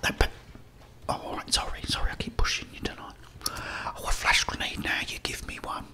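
A man's voice: fairly quick, pushed and bright. Whispering middle-aged British man, ASMR gamer. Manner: rambling, apologetic, talking to game characters. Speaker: game streamer. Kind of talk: ASMR whispered gameplay commentary. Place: small indoor room.

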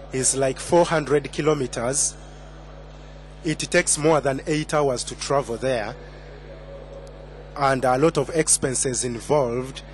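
A young man from Uganda tells his story at a podium microphone, stopping often to restart his sentences. His voice sits at a middling pitch, and a faint hum runs underneath. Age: 20s. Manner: hesitant, many fillers and restarts. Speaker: conference speaker. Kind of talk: personal testimony.

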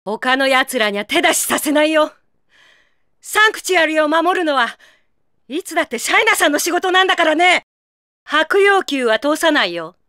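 A high, bright female warrior voice from an anime game. She is proud and commanding, with tough, rough-spoken delivery that swings widely in pitch. Beneath the fierceness lies a secret tenderness. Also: lively intonation, moderate pace, no room sound, studio quality. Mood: defiant, urging. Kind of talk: Japanese anime game voice acting.

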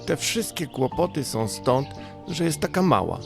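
A man acts out a storybook older sister creature with a raised pitch. She is solemn, bossy and given to fretful complaint. Music runs behind the voice. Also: big pitch swings, a little slow, vocal fry, heavily acted. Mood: complaining. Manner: earnest, complaining.

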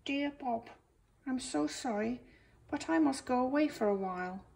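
A woman's voice: sits high, animated, moderate pace, creaky, darkish tone, pitched above the performer's own voice. A woman voicing a kind, affectionate small male bat in a picture book. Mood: apologetic.

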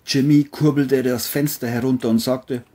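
A man's voice: mid-range voice, steady tone, moderate pace, dark tone. A man reading a scripted crime thriller as narrator.

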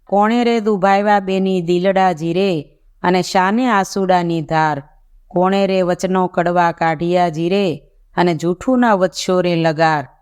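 Narrator reading a saintly royal brother from a folk tale, caring but gently bossy, clear smooth voice. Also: mid-range voice, fairly even intonation, measured pace, natural voice. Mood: concerned.

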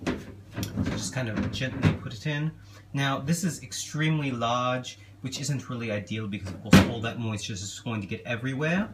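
A young man playing a genteel, affectionate elf king giving a gentle, hesitant, casual cooking demonstration. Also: mid-range voice, normal speed, close to an everyday voice. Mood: chatty, unhurried. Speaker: cooking show host. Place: small home kitchen, indoors. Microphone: camera a step or two away. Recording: clearly noisy kitchen recording.

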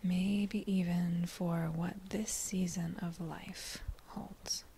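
A woman leading a guided meditation in a gentle, breathy near-whisper, speaking in short unhurried phrases with pauses between them. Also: fairly low pitch, little pitch movement. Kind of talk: slow guided meditation narration.

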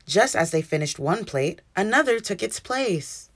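A woman reading a children's picture book aloud as an expressive, animated storyteller. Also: mid-range voice, unhurried. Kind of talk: expressive scripted read-aloud narration.

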